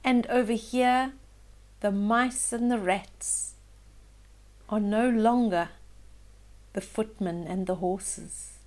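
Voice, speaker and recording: Older lady doing storytime for kids, really slow, highish voice, expressive, explains stuff simply. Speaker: children's storyteller, host. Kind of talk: storybook read-aloud with asides to young listeners.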